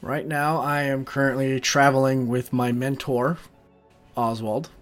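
A man's voice: mid-range voice, little pitch movement, a little slow. A young man with a mid-range voice talks casually as a tabletop player. He describes his character in plain interview answers, with music faintly behind him. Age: young adult. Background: background music.

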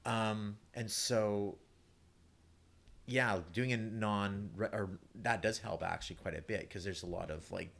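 Man, deep voice, creaky fry, halting, rambling, casual panel talk. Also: fairly even intonation, a bit bright. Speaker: theatre playwright guest.

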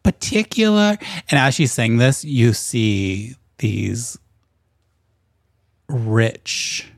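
A man's voice: medium pitch, very slow, gravelly, no room sound, studio quality. A man running a tabletop game as game master, narrating in lush, lingering sensory detail.

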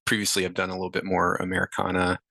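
A man in his thirties, a musician guest, answering interview questions in a relaxed, rambling way with many fillers. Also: low voice, very fast, creaky, no room sound.

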